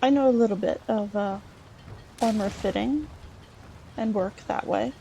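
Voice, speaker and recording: A woman narrating a video game in character as an adventuring scholar, halting and thinking aloud as she goes. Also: medium pitch, gravelly, mellow, a little hiss or hum. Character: chatty Breton scholar woman, treasure-hunting adventurer. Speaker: let's play host role-playing a character.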